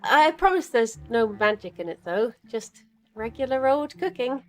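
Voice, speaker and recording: A woman's voice: fairly high pitch, sing-song, moderate pace. A woman playing a cheerful, chatty young witch in an audio drama, rambling a little hesitantly and eager to please. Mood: playful. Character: cheerful, chatty young witch, tall rabbit-eared druid companion. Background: music.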